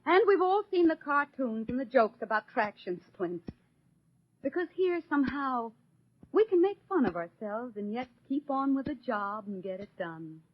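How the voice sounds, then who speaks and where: An actress playing a resolute American housewife and mother, delivering a solemn, measured 1940s radio monologue with an American accent. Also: high voice, big pitch swings, no echo. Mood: wry, reflective. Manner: solemn, measured, declamatory oratory. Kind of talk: 1940s radio acting, dramatic poetic monologue. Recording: muffled old radio broadcast, faint music.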